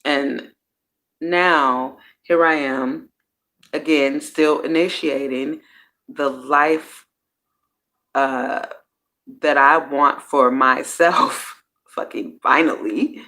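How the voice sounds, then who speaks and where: A woman's voice: very deep voice, drawn-out words, long pauses, bright, forceful tone. A woman hosting her own personal growth series, talking casually and candidly. She uses slang, swears freely, and often trails off and restarts her sentences. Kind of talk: casual reflective monologue.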